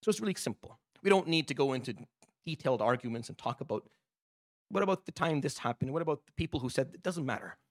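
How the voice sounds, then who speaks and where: A man's voice: mid-range voice, expressive, quick pace, no room sound, clean studio sound. A man delivering a Friday sermon as imam, impassioned and repeating his points. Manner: impassioned, repetitive.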